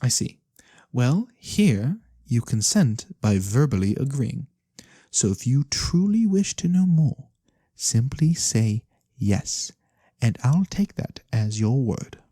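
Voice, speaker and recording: A performer voicing a mysterious, courteous fantasy lorekeeper in a soft-spoken ASMR roleplay, breathy and theatrical with knowing pauses. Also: medium pitch, very animated, no room sound. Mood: ominous, reluctant. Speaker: storyteller character.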